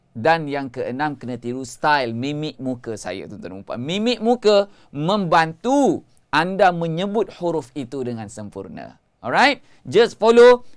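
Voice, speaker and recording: A man's voice: sits high, clean tone. A Malaysian man in his thirties hosting a live-streamed Quran lesson as its coach. He speaks emphatically, repeats his key phrases, and gestures as he talks. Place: studio before a green-screen hedge backdrop.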